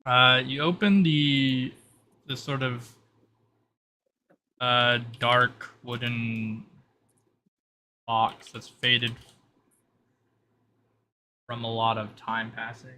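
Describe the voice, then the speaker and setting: A man running a tabletop roleplay as game master, narrating in a halting, improvised way. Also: medium pitch, steady tone, pushed and bright. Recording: noticeable background noise.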